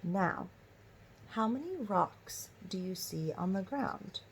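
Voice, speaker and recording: A woman's voice: medium pitch, animated, slow, a little hiss or hum, rough recording. A woman teacher reading a children's story aloud and prompting listeners with instructive questions.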